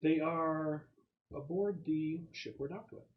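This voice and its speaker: A man voicing a calm, compliant android crewman in a tabletop game, speaking formally and precisely. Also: on the high side, takes it slowly, phone-quality sound, pitched above the performer's own voice.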